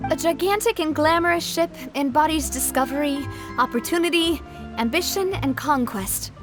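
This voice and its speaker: Young game heroine, high voice, proud n determined, earnest and confident, music in the bg. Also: female voice. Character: spirited young lady, proud, determined head of an organization. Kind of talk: video game cutscene acting.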